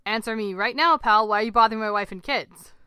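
A woman voicing an aggressive, muscular husband who is loud, demanding and menacing. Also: a little high, quick pace, clean tone, no room sound, studio quality.

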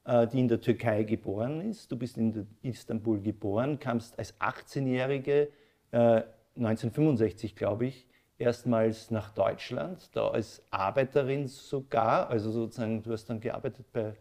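A man hosting a live literary talk, speaking in a halting, searching way. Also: medium pitch, darkish tone. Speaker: interview host. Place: living room of a former residence, indoors.

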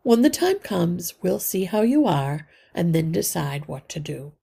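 A woman's voice: on the low side, sing-song, a little slow, vocal fry, mellow, breathy edge, exaggerated voice. A woman voicing a caring mother mouse in a storybook, tender and soothing in manner.

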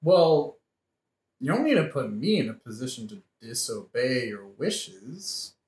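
A man playing a hot-headed young nobleman bent on revenge in a modern satirical audio play, excitable, blunt and colloquial. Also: medium pitch, a little slow, roomy sound, rough recording. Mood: guarded, vengeful.

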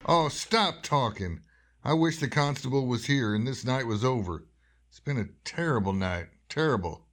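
A man portrays a small-town doctor in a horror radio play, with a middling pitch. He is gruff, impatient and skeptical, scolding in brusque, folksy phrases. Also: expressive, moderate pace, fairly bright tone, close to an everyday voice. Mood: irritated, uneasy. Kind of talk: radio drama horror acting.

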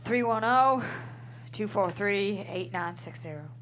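A woman's voice: mid-range voice, measured pace, a bit bright, a little hiss or hum, lightly acted. A woman playing a tough, guarded small-town cowgirl at a script table read, blunt and folksy. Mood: teasing.

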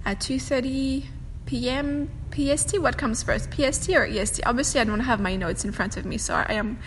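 Fast-talking French-native woman podcaster. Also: a little high. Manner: fast-talking, chatty, laughs often. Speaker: podcast host and meditation teacher. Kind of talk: casual solo podcast chat. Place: home room with a fireplace on a windy day. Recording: long room reverb, faint wind whoosh. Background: faint wind noise from the fireplace.

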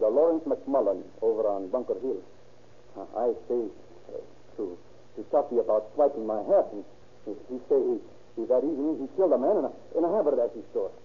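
A man playing an excitable streetwise crook in broken grammar, protesting his innocence in an old radio crime drama. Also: mid-range voice, husky. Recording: tinny, noisy old radio recording.

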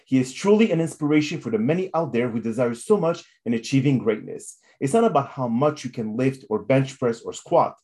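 A man's voice: mid-range voice, lively intonation, brisk, hardly any pauses, a little mellow. Formal, motivational male podcast host. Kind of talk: interview hosting with motivational sign-off.

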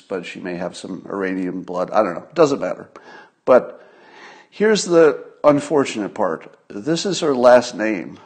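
Husky low-voiced man chatting casually. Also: a little mellow. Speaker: host of a commentary livestream. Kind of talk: casual solo political commentary to a live audience.

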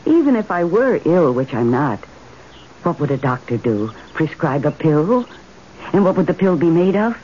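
Dreamy, stubborn wife, old radio drama. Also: female voice, sits low, big pitch swings, moderate pace, warm tone. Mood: gently insistent. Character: dreamy, serenely stubborn suburban wife entranced by her garden. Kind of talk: 1970s radio drama acting. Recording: dull, noisy old radio recording.

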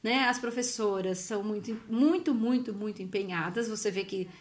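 A woman from Brazil, living abroad, telling a family story in a relaxed, animated way, often laughing and acting out what others said. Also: medium pitch, normal speed. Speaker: guest. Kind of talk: casual conversational storytelling. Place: Italy.

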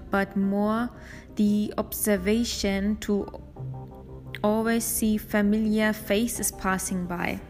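A woman's voice: medium pitch, long reverb tail. A woman hosting her own show, reading a personal account aloud from handwritten notes in a careful, earnest way, sometimes restarting a phrase. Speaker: podcast host.

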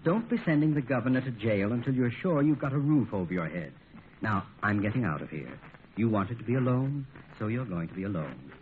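An actor playing a sensible, put-upon lawyer and family friend, dryly weary and cautioning, in a 1950s radio comedy. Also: male voice, mid-range voice, big pitch swings, a little fast, lightly acted. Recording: tinny old radio recording, faint noise.

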